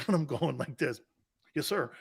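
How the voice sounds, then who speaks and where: Lively storytelling male host. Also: fairly high pitch, moderate pace, vocal fry, slightly rough. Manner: animated, laughing, voices other people. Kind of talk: storytelling chat with ad read.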